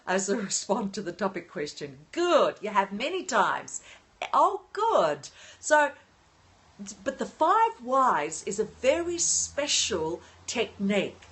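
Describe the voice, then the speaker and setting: An older woman hosting a casual livestream from her apartment on her phone, often pausing to greet and thank viewers. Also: medium pitch, very animated, fairly bright tone. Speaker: livestream host. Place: at home in an apartment.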